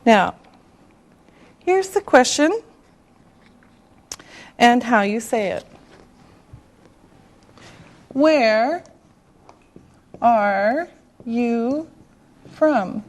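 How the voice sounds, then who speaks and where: A woman teaching an English class, speaking slowly and deliberately with clear enunciation. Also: a little high, expressive. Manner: slow and deliberate, drawing out words.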